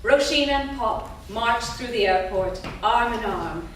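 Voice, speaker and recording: A woman's voice: on the high side, expressive, a little slow. Woman narrating in a very echoey hall. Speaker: stage directions reader. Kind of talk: scripted narration at a staged play reading. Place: theatre. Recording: echoey theatre, distant mic, background noise.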